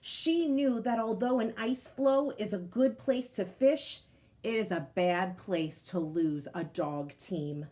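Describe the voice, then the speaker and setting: A woman's voice: medium pitch, takes it slowly, darkish tone. A woman reading a picture book aloud to children, as a teacher. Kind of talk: storybook read-aloud.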